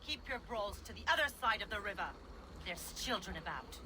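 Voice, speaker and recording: An actress playing a stern, commanding middle-aged village healer in a video game. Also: female voice, medium pitch, animated, a little fast, lightly acted. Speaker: game character. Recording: game audio with background noise.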